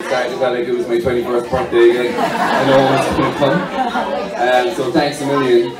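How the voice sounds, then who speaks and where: A man giving a short, hesitant thank-you speech at a salon launch, rushing through his words. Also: mid-range voice, distant mic. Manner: hesitant, grateful, rushes words. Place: salon opening, large indoor room. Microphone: stand mic, recorded from far off. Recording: echoey room, crowd, heard from afar.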